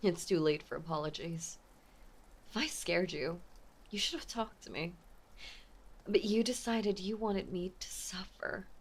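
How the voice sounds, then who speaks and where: A woman playing an obsessive, possessive mafia heiress ex-girlfriend in a dramatic audio roleplay, with intense, menacing, theatrical delivery and a breathy voice. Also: lowish voice, a little slow, long pauses. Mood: hurt, bitter. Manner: intense, menacing, theatrical, swinging between hush and outburst. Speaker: voice actor.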